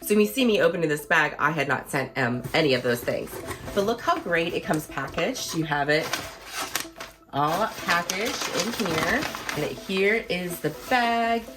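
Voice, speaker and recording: A woman in her thirties, a fashion vlogger, chatting in a casual, enthusiastic way, her voice a little hoarse as she gets over losing it. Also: on the low side, expressive, moderate pace, noisy background. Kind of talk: casual product review vlog.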